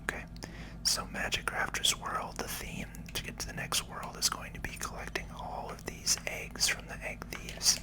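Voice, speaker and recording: Casting: ASMR game host, fully whispered delivery, casual explanatory commentary on gameplay. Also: moderate pace. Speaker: host, gaming video creator.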